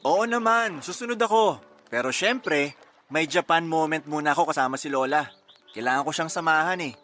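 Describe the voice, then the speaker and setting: A man playing a modest, sincere young man in a Filipino audio drama, earnest and gently bantering. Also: fairly high pitch, normal speed, faint music behind. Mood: light, modest.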